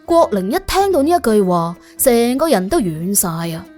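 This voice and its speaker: A woman narrating a suspense audiobook in an expressive, colloquial storytelling style. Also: a little high, moderate pace. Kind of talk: scripted suspense storytelling in Cantonese. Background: music under the voice.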